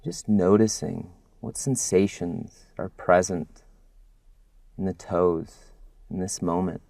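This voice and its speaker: A man guiding a body scan meditation as a mindfulness instructor, speaking gently and soothingly with long pauses. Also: deep voice, dry recording.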